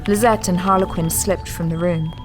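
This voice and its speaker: A woman's voice: on the low side, steady tone, moderate pace, low-quality recording. A woman delivering scripted, dramatic story narration for an audio drama. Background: prominent music.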